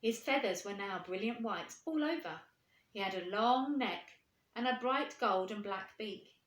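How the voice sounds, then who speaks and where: A woman reading a storybook to children, expressive and putting questions to her young listeners. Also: mid-range voice, unhurried. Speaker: primary school teacher reading aloud. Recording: echoey room.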